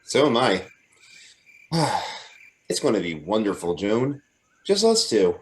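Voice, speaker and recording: A man's voice: on the low side, big pitch swings, slow pace. A man playing an adoring, playful young fiancé in an old-time radio drama, sweet-talking and coaxing. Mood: happy, tender.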